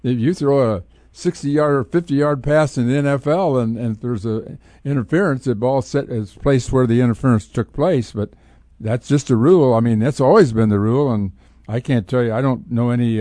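A man hosting radio sports talk, giving opinions casually in a halting, conversational way. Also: medium pitch, dark tone, dry recording.

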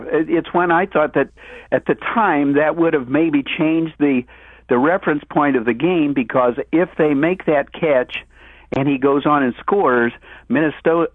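Male sports-show caller, slightly high voice, rambling and repetitive delivery, thin phone-band sound. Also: dry recording. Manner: halting, wordy, repeats himself. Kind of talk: casual sports talk. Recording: dull band-limited phone call on radio.

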